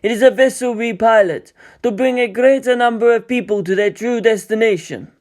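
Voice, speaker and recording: Wise elderly monk acted by a man, patient mentor, formal measured delivery, storybook reading. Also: extremely high pitch, a little mellow, voice pushed up. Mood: solemn, patient. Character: wise old male mountain monk, patient teacher.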